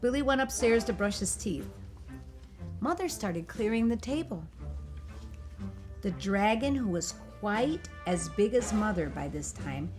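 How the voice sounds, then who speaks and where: A woman reading a picture book aloud for children, in an expressive, animated and emphatic storytelling delivery. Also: medium pitch. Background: music.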